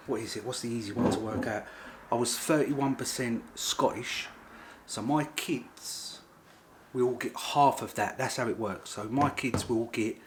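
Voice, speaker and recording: A man's voice: mid-range voice, slight background noise. A middle-aged man, vlogging in a rambling, casual way and often repeating himself. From England. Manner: rambling, repeats himself, informal working-class grammar. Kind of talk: casual chat to camera.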